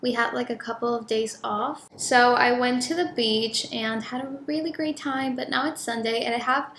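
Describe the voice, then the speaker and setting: A woman's voice: fairly high pitch, fairly even intonation, normal speed, a bit bright. A young woman vlogger chatting casually and informally to viewers from a room at home, drawing out some words. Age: young adult. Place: echoey home office room, indoors. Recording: echoey room, clear background noise.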